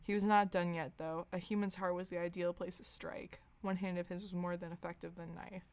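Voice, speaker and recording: Lower-pitched woman reading comic fiction. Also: level tone, brisk, darkish tone. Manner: mock-serious, often amused. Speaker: podcast host. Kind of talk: comedic dramatic reading of fan fiction.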